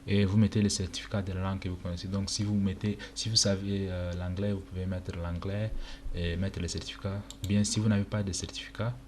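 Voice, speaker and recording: A young man from Chad whose first language is Arabic, casually talking through a screen-recorded walkthrough with many pauses and fillers. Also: deep voice, little pitch movement, a little mellow. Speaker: tutorial presenter. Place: indoor room at a computer. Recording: noisy home recording with keyboard clicks.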